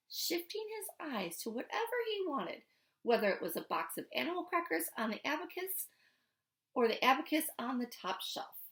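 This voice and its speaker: A woman reading a children's book aloud like a teacher, with deliberate, emphatic phrasing. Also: sits high, a bit bright. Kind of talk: expressive storybook read-aloud.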